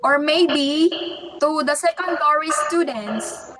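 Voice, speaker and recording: Woman teacher, high voice, slow asking style, echoey classroom, noisy. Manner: slow, questioning, checks with "okay?". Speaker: English teacher. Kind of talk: teacher training workshop talk. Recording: echoey room with loud noise.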